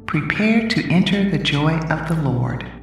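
A woman's voice: deep voice, lively intonation, a little slow, gravelly, heavy reverb, far from the mic, faint music behind, heavily acted. A woman voicing a polite female flight attendant who makes formal boarding announcements in a radio drama. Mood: announcing.

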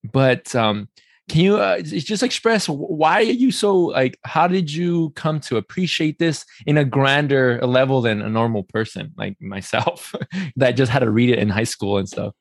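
A man hosts a casual podcast interview in a close, dry recording. His voice sits at an average pitch. He is friendly and welcoming, and he often doubles back on words and restarts his sentences. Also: expressive, moderate pace, gravelly, dry recording. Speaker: podcast host.

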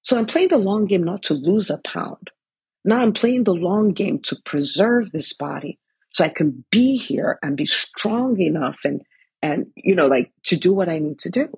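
A woman's voice: fairly low pitch, lively intonation, moderate pace, a little mellow, slightly rough, no echo. A woman in her forties giving an earnest motivational talk, full of "right?" and "like". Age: 40s. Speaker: coach for physicians in business.

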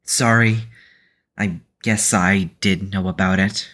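An actor playing a timid, apologetic, earnest student in an audio drama, meek and solemn. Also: male voice, low-pitched, fairly even intonation, slow pace, fairly bright tone.

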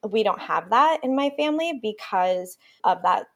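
A woman's voice: mid-range voice, expressive, normal speed. A woman who grew up in Puerto Rico, a native Spanish speaker, talking reflectively as a yoga teacher guest on a podcast. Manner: reflective, thoughtful, uses fillers like "you know". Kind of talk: podcast interview.

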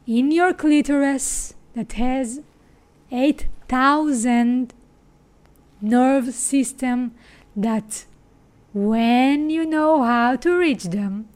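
Israeli woman, high voice, podcast host, emphatic and spiritual monologue. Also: very slow, darkish tone. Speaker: sex coach and podcast host. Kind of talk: personal monologue.

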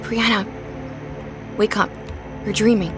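A woman's voice: mid-range voice, fairly even intonation, takes it slowly, close to an everyday voice. A woman playing a concerned young roommate in a horror audio drama, coaxing carefully and urgently, with music behind her. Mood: worried, coaxing. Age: young adult.

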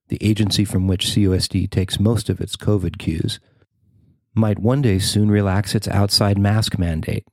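A man's voice: fairly low pitch, a little mellow, no echo. An American man reading a scripted news story as a podcast host and reporter.

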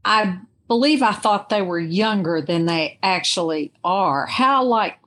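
A woman's voice: medium pitch, animated, a little slow, a bit bright. A woman guest talking casually and analytically as a reader, musing and asking questions as she goes. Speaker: guest giving a reader's view. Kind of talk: casual, analytical book discussion.

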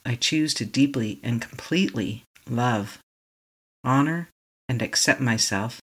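A meditation guide leading a tapping session, speaking slowly and calmly in short affirmations for the listener to repeat. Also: mid-range voice, breathy edge. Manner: calm, slow, repeating affirmations to be echoed back.

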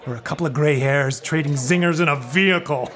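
A man's voice: fairly high pitch, brisk, a bit bright, natural voice. An actor playing a pushy, glib theatre adviser in a comic podcast play, flippant and condescending like a salesman. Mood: cajoling. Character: pushy, glib male theatre pro giving advice.

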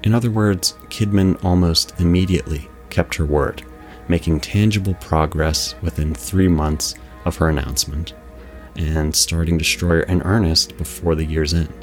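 A man's voice: deep voice, fairly even intonation, normal speed, soft background music. A man hosting a podcast, delivering scripted film commentary in an articulate, formal manner. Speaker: podcast host.

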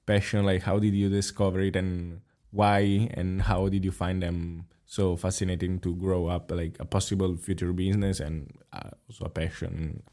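Male co-host, very deep monotone voice, mellow, halting questions. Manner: halting questions, repeats words. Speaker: podcast co-host. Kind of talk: casual interview chat.

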